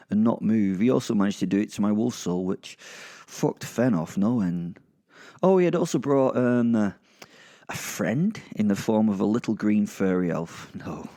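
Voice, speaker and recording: A man's voice: fairly low pitch, mellow. A man with a British accent playing a sardonic, foul-mouthed werewolf serial killer who narrates in a rambling, confiding way. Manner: rambling, confiding, sweary, dry. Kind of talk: dramatised first-person narration to listeners.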